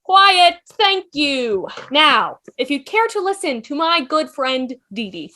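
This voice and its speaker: A woman's voice: high-pitched, big pitch swings, slow, bright, forceful tone, heavily acted. A woman playing a clever, curious, chatty time traveller in an amateur drama table read, breezy and inquisitive. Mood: reassuring. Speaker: table read performer and narrator. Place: online video call table read. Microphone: video call.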